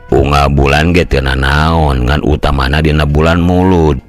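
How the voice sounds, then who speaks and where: A man's voice: very deep voice, fairly even intonation, soft background music, voice pushed down. A man voicing a pious old village uncle who explains things patiently and reflectively in a Sundanese storytelling recording. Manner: slow, sage-like explaining with proverbs.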